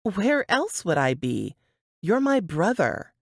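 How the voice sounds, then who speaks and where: A woman's voice: mid-range voice, big pitch swings, slow, dry recording, clean studio sound, exaggerated voice. Woman acting an upbeat, lilting caring sister. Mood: reassuring. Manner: bright, affectionate, teasing. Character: caring, upbeat foster sister, reassuring her brother.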